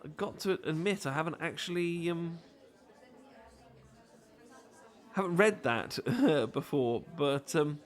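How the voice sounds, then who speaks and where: A man's voice: a little high, animated, measured pace. A man with a British accent playing a flustered, bashful, adorkable romantic who stammers and rambles apologetically in an intimate ASMR roleplay. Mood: bashful, amused. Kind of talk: intimate ASMR roleplay acting, addressed to listener.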